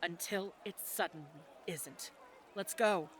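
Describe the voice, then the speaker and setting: A woman's voice: lowish voice, measured pace, fairly bright tone, a little hiss or hum. A woman playing an urgent, commanding guide who steers companions away from danger in a fantasy audio roleplay.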